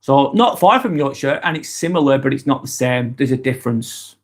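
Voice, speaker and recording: A man's voice: medium pitch, animated, normal speed. Lancashire man livestreaming, rambling and chatty, mid-range voice, lots of ums and restarts. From East Lancashire, England. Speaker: livestream vlogger. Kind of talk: casual livestream chat, reading out viewer comments.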